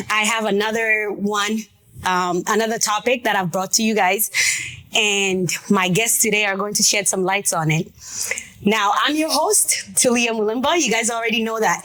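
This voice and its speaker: A woman hosting a talk show discussion, chatty and direct, with quick asides to her audience. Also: mid-range voice, a bit bright.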